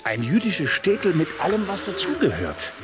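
A gawking, excited tourist gushes in a radio drama. His high voice swoops wildly, with loud music behind. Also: moderate pace. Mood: amazed.

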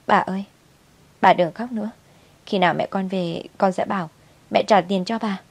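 A woman's voice: medium pitch, steady tone, moderate pace, gravelly. Woman voicing a pleading, timid little girl. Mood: soothing. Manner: tearful pleading. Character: frightened little granddaughter, tearful, pleading, gentle.

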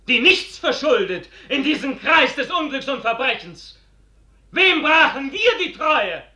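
A man's voice: very high voice, pushed and bright, exaggerated voice. A man acting an impassioned young noble officer, anguished by love, fervently declaiming verse in a 1950s radio drama. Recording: echoey 1950s radio recording.

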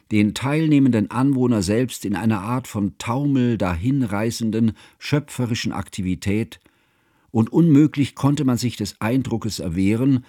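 An actor playing a grave, scholarly older man who narrates his composer friend's life in a radio play, solemn and measured in ornate literary phrases. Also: on the low side, a little mellow, husky, dry recording, clean studio sound.

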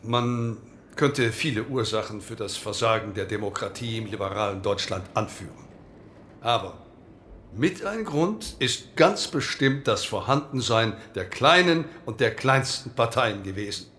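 A man's voice: lowish voice, animated, unhurried, fairly bright tone, a little hiss or hum. An actor playing a postwar male parliamentary delegate who gives an earnest, oratorical speech and argues back when heckled. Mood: insistent. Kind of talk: radio drama, parliamentary speech.

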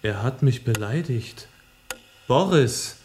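An actor playing a sensitive, idealistic young revolutionary in a German radio play, speaking earnestly and with passion. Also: male voice, medium pitch, animated, takes it slowly, roomy sound, noisy background. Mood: hurt, defensive. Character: idealistic, sensitive young male revolutionary who loves life.

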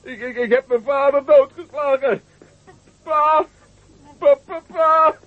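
A man's voice: very high voice, slow pace, no room sound. An actor playing a panicked young man who has killed his father, crying out in sobs in a radio play. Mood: frantic grief. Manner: sobbing, frantic cries. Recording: tinny lo-fi radio recording.

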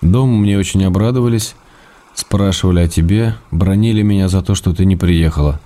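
An actor playing a weary, affectionate writer husband, reading his letters in a restrained, gentle way in a radio play. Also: deep voice, brisk, lightly acted. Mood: calm, fond.

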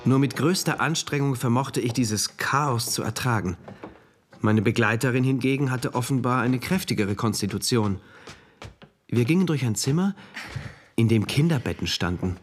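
An actor playing a rigid, troubled man from a regimented future, narrating a literary radio play in a deliberate, brooding, precise way. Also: mid-range voice, slight background noise, close to an everyday voice. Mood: brooding, tense. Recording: music behind the voice.

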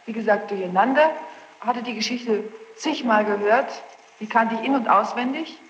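A woman's voice: fairly high pitch, moderate pace. A young woman answering questions as a witness, in clipped, repetitive, defensive phrases. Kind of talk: spontaneous answers under questioning. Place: large reverberant room. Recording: muffled echoing archival tape, some noise.

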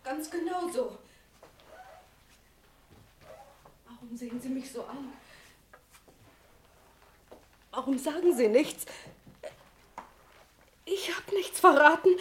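A woman's voice: high voice, unhurried, pauses often, slight background noise. A woman acting in an old German radio crime drama as a frightened, evasive widow, anxious and pleading. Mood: nervous. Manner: anxious, emotional, insistent pleading.

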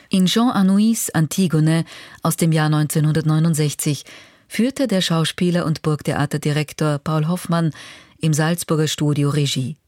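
A woman's voice: deep voice, darkish tone, no room sound. A woman radio presenter reading scripted narration in a factual, composed manner in a studio.

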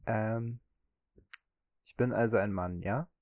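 A man co-hosting a podcast, chatting casually through a guessing game and often pausing on drawn-out fillers. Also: on the low side, fairly even intonation, darkish tone, no room sound. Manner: hesitant, many drawn-out fillers. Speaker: podcast co-host.

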